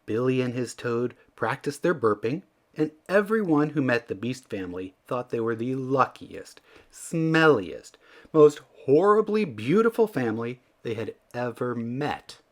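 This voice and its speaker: Animated male storybook narrator. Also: medium pitch, unhurried. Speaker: children's story reader. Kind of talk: expressive scripted storybook narration.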